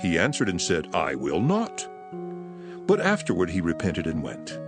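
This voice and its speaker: Low-voiced authoritative teacher, solemn emphatic declamation, hugely varied intonation, dramatized scripture, background music. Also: male voice, huge pitch swings, moderate pace, heavily acted. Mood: solemn teaching.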